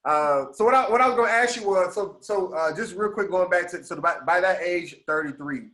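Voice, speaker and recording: A man in his thirties hosting a casual podcast interview, laughing often and chiming in with quick agreements. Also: high-pitched, fairly bright tone. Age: 30s. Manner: laughs often, repeats "right, right". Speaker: podcast host.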